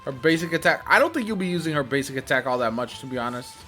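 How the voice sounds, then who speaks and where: A man's voice: medium pitch, expressive, fast talker, sharp tone, soft background music. A man giving casual, chatty gaming commentary, repeating words for emphasis. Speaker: gaming YouTuber. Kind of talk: casual reaction and commentary to camera.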